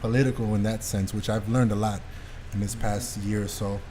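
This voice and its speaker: A man's voice: on the low side, flat delivery, a little fast, mellow, slight background noise. A man hosting a casual podcast, talking in a streetwise, anecdotal way with some mild swearing. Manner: streetwise, mildly profane, anecdotal. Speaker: podcast host.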